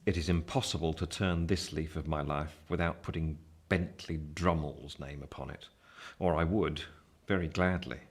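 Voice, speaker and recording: An actor playing a reflective gentleman narrating his life story in a radio play, in measured, formal literary style. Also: extremely low pitch, fairly even intonation. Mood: dry disdain.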